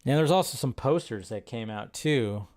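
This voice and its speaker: A man's voice: medium pitch, lively intonation, moderate pace, darkish tone. A man hosting a casual film news livestream, rambling with lots of fillers and restarts as he reads out viewer questions and answers them offhandedly.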